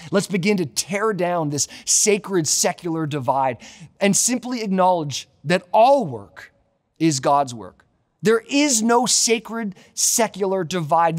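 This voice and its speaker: Man, thirties, Canadian accent, pastor, emphatic sermon delivery, repeated key phrases. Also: high-pitched. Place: large empty church auditorium.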